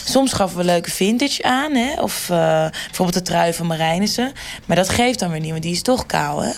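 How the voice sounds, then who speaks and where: An actress playing a chatty, exasperated parliamentary stylist in a mock radio report, gossipy and informal. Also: female voice, lowish voice, animated, normal speed. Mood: chatty complaint.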